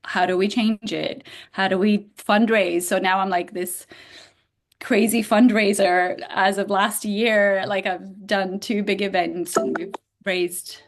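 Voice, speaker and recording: A middle-aged woman from Bulgaria chats as an interview guest, with a gravelly edge of vocal fry in her voice. She talks in an animated way and fills her sentences with "like" while she thinks. Her pitch sits in a moderate middle range. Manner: animated, frequent "like" fillers, quick laughs. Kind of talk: casual interview chat.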